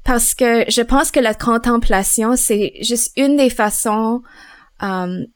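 A woman's voice: on the high side, slow, no echo, clean studio sound. A woman, a mother at home, answering interview questions on faith thoughtfully and hesitantly. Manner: hesitant, searching for words, soft laughs.

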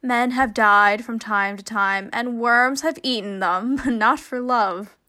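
Woman playing a bright-voiced, witty young heroine. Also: fairly high pitch. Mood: playful, teasing. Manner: teasing, brisk, mock-stern. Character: witty, commanding young woman, playfully testing her suitor. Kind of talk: radio play Shakespeare comedy acting.

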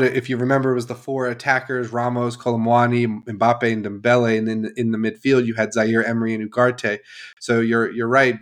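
A man hosting a casual sports podcast, a little nasal as he gets over a cold, upbeat and chatty. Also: mid-range voice. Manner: upbeat, chatty, laughs easily. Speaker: podcast host.

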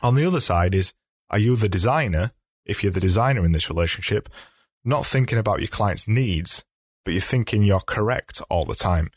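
A man with a slightly low voice hosts a solo advice podcast, walking listeners through points in a chatty, explanatory way. His voice is a little hoarse from a coming cold. The sound is dry and close, with no room behind him. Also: lively intonation, brisk, dry recording. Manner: chatty, explanatory, with frequent restarts. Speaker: podcast host.

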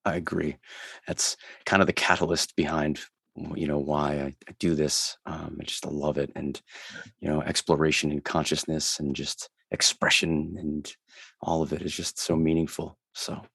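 A man's voice: very deep voice, little pitch movement, normal speed, gravelly, fairly bright tone, husky, dry recording, studio quality. A man from Rhode Island with a New England accent, hosting a conversational podcast in an effusive, earnest manner. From Rhode Island, USA. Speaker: podcast host.

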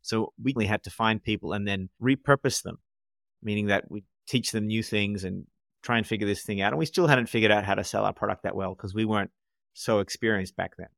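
Gravelly low-voiced man, candid. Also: brisk, a bit bright, no room sound. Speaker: podcast co-host. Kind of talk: casual panel discussion.

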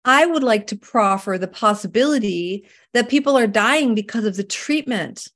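A middle-aged woman from Canada, a holistic healer answering interview questions in an earnest, emphatic way that sometimes slows down to make a point. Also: on the high side, lively intonation, a bit bright.

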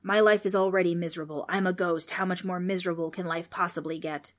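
A woman's voice: on the low side, fairly even intonation, fast, close to an everyday voice. Mocking old ghost, voiced by a woman storyteller. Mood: mocking, gloating. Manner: mocking, world-weary. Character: mean, sarcastic old flying ghost, gloating.

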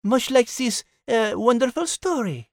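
A performer voicing a refined female cat who co-hosts the podcast, with a soft, gracious manner. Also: fairly high pitch, animated, measured pace, no room sound, clean studio sound. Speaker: podcast co-host.

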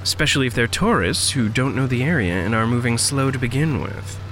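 Man playing an animated, watchful sidekick. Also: mid-range voice, a little fast, faint music behind, lightly acted. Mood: curious. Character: watchful, amiable male sidekick, the muscle. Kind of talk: modern audio drama acting.

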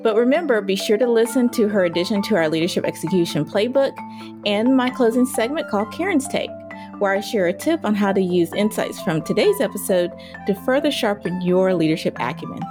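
A woman's voice: mid-range voice, expressive, a little fast, over music. A woman hosting a podcast, reading a scripted intro and then interviewing with enthusiasm. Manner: upbeat and enthusiastic. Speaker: podcast host.

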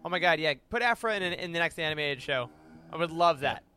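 An American man talking casually as a panelist in a fan discussion, with an animated, joking, emphatic manner. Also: a little high, quick pace, slight background noise. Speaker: podcast panelist.

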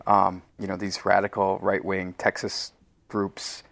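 A man's voice: low-pitched, completely flat delivery, unhurried, gravelly, fairly bright tone, dry recording. A man being interviewed as an audio drama creator, talking casually in a wry, self-deprecating way. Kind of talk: casual conversation.

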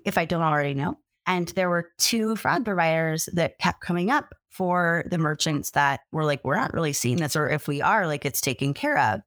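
A woman's voice: fairly low pitch, no room sound, clean studio sound. A woman hosting a podcast interview, telling her story with animation and acting out what other people said. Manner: animated storyteller, acts out quotes. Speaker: podcast host.

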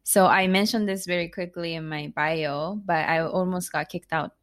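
A woman with a Japanese accent speaks in a casual interview, her voice sitting slightly low. She rambles a little, full of fillers and quick restarts, as if on a video call. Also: fairly even intonation, fairly bright tone. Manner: chatty, with many fillers, restarts and laughs. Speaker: guest author and entrepreneur.

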